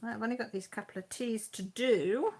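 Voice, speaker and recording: A woman's voice: on the high side, a little fast, darkish tone, breathy edge. A woman with a Mid Wales Radnorshire accent, hosting a casual live chat on her channel, reading out comments and laughing often. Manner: chatty, homely, laughs often. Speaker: YouTube channel host.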